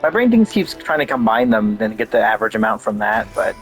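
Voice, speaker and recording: A man's voice: lowish voice, very animated, a little fast, soft background music. A man giving casual gameplay commentary, thinking aloud in an offhand way. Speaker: game streamer.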